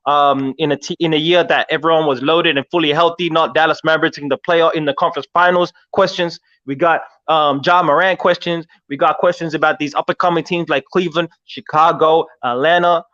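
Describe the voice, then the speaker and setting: A man from Ethiopia, whose first language is Oromo, talking basketball as a podcast guest in an animated, emphatic way. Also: on the high side. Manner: animated, emphatic, calls everyone "man" and "bro". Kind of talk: casual livestream basketball chat. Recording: muffled lo-fi livestream audio.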